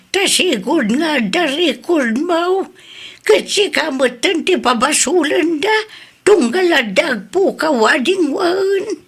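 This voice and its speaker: Creaky, high-voiced old Filipino grandmother. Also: a bit bright, full character voice. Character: doting, long-suffering old grandmother, indignant and protective. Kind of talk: audio drama acting in Ilocano.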